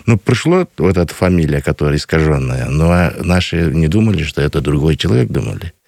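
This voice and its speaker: A man, answering a radio interviewer earnestly and slowly, with many pauses. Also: a bass voice, expressive, creaky, darkish tone, no echo, studio quality. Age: 50s. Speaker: guest, grandson of a missing soldier.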